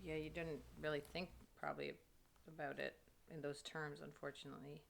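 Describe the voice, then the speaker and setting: A woman's voice: sits low, flat delivery, brisk, creaky. A woman in a casual book club discussion, talking in a reflective, appreciative way.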